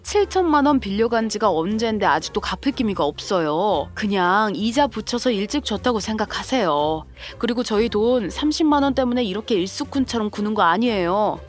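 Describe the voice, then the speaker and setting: A woman's voice: on the high side, close to an everyday voice. A woman playing a composed, sarcastic sister-in-law and protective mother in a scripted audio drama.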